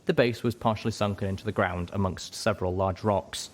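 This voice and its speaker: A man reading a first-person story aloud as an expressive scripted narration. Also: on the low side, fairly quick.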